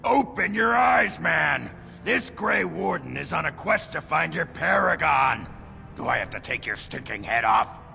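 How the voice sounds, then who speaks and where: An actor voicing a gruff, belligerent drunken dwarf warrior in a video game, coarse and blunt. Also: male voice, a little high, animated, measured pace, pushed and bright, shouting, heavily acted. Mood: furious. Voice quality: gravelly. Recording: faint music behind.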